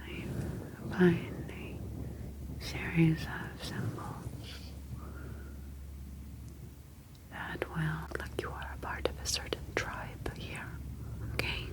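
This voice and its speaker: A woman playing a gentle, reassuring humanoid ocean alien in a soothing, formally phrased ASMR sci-fi roleplay. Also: natural voice, poor audio. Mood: soft, attentive.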